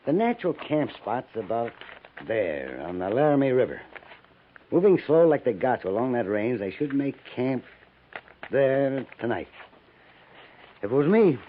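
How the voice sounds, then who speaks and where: A man's voice: mid-range voice, sing-song, normal speed, pauses often, narrow, tinny audio, slight background noise, close to an everyday voice. Man acting a folksy frontier scout, swinging intonation. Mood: measured, assured. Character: plain-spoken seasoned frontier scout advising cavalry officers. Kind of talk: 1950s radio western acting. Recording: band-limited vintage 1950s radio broadcast.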